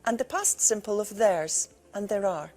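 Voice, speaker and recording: A woman teacher reading a scripted grammar lesson slowly and clearly, played from a tutorial video. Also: mid-range voice, lively intonation, a little mellow, faint music behind. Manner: slow, clear, deliberate.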